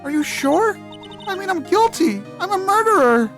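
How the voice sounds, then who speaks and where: A woman's voice: extremely high pitch, very animated, normal speed, music in the background, voice pushed up. A woman voicing a distraught, guilt-ridden teenage girl, a fragile spirit medium in a video game, earnest and emotional. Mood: desperate, pleading.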